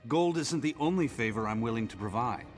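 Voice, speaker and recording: An actor playing a smarmy, flirtatious male merchant in a video game, with a husky, oily delivery. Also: medium pitch, lively intonation, brisk, in a large hall, soft background music, lightly acted. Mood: scheming. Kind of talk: video game character dialogue.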